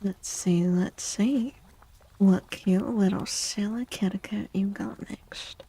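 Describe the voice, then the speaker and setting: Soft spoken asmr lady, breathy n whispery, mellow, cutesy and affectionate, close to the mic. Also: medium pitch, steady tone, takes it slowly. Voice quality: breathy, often slipping into whisper. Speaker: streamer doing tarot readings. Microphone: close microphone.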